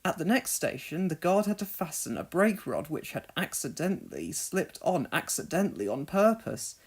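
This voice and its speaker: Expressive storybook narrator, lively intonation. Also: medium pitch, moderate pace, slightly rough. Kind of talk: children's storybook read-along narration.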